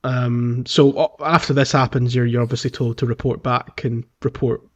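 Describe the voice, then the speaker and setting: A man's voice: medium pitch, level tone, normal speed. A man co-hosting a gaming podcast in casual, conversational talk. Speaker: podcast host. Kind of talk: casual game discussion podcast. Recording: slightly dull, lo-fi voice track.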